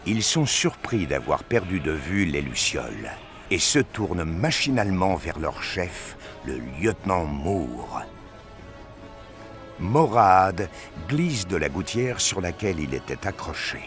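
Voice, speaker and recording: A man's voice: low-pitched, big pitch swings, a little slow, fairly bright tone, soft background music. A man reading scripted narration for a science fiction audio drama.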